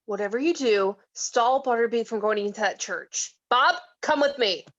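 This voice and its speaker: A woman's voice: fairly high pitch, moderate pace, a bit bright, lo-fi sound, natural voice. A woman playing a determined heroine who takes charge, direct and urgent, in an amateur script reading. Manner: commanding, direct.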